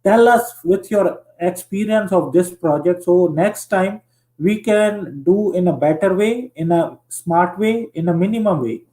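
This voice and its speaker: High-voiced hesitant man. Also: measured pace, mellow, rough recording. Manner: hesitant, many "like, a" fillers. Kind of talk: casual conversation.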